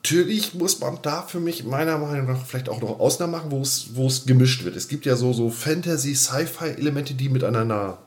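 A man's voice: mid-range voice, fairly quick, few pauses. A man co-hosting a podcast in casual fan chat, hesitant and roundabout, often repeating himself. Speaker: podcast co-host.

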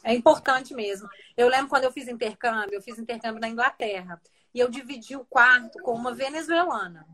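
A woman's voice: fairly high pitch, a bit bright. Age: adult. Sex: woman. Role: friendly interviewer. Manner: friendly, quick back-channel replies. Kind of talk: casual conversation.

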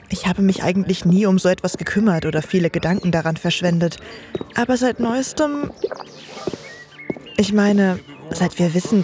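A woman's voice: mid-range voice, darkish tone, close to an everyday voice. A woman playing a self-assured, casual young woman in a conversational fantasy audio drama.

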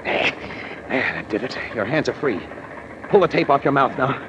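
A man's voice: mid-range voice, lively intonation, measured pace, lightly acted. An actor playing a tough, protective newspaperman hero giving terse, urgent orders in an old-time radio crime drama. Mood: strained, urgent. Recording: noisy, muffled old radio recording.

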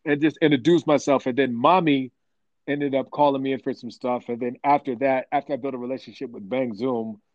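A man being interviewed about his work as a voice actor, telling stories in a rambling, animated way with lots of restarts. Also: medium pitch, a little fast, dry recording, muffled recording. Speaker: voice actor guest. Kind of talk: casual interview chat.

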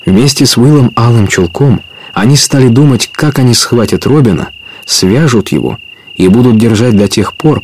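A man's voice: medium pitch, darkish tone, slight background noise. A man narrating an adventure audio play in a scripted storytelling style.

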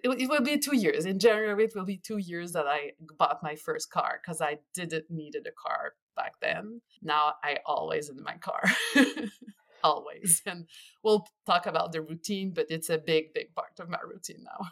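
A woman in her forties with a Quebec French accent, a native French speaker, chatting as a podcast guest with frequent pauses and restarts. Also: fairly low pitch, a bit bright. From Quebec, Canada. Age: 40s. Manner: earnest, pauses often with fillers and restarts. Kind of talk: casual interview chat.

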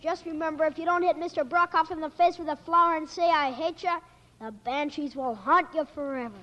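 A woman's voice: sits high, fairly quick, pushed and bright, dull audio. A female performer playing a bossy, blunt neighbourhood child in an old radio drama. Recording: noisy old radio recording.

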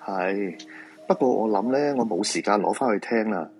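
A man's voice: lowish voice, expressive, normal speed, a little mellow, faint music behind. A man with a Hong Kong Cantonese accent acting a weary, persuasive feng shui master in a supernatural radio drama. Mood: wry, placating.

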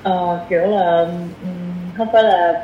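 A woman's voice: on the low side, fairly even intonation, a little slow. A woman with a southern Vietnamese accent talking casually as a podcast guest, explaining things in a chatty way with everyday examples. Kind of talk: casual conversational interview. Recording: echoey room, muffled, faint noise.

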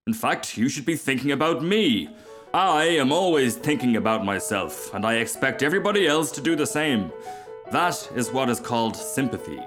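A man's voice: mid-range voice, animated, normal speed, music in the background, pitched above the performer's own voice, close to an everyday voice. A man voicing a pompous, easily offended firework rocket in a storybook, with haughty, emphatic, self-pitying declaiming. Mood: indignant, self-important. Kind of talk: storybook character voice.

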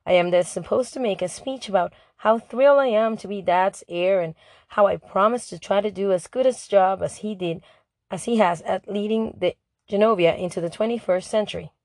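A woman's voice: mid-range voice, moderate pace, darkish tone, no room sound, lightly acted. A woman reading a storybook diary aloud as a sarcastic, put-upon teenage princess. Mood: sarcastic, nervous. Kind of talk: amateur audiobook reading of a first-person diary.

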